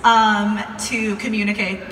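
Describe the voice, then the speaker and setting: A woman's voice: medium pitch, flat delivery, measured pace, fairly bright tone. Young American woman, casual live storyteller, chatty and informal, distant mic in a reverberant room with some noise. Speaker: video creator telling a story to a live audience.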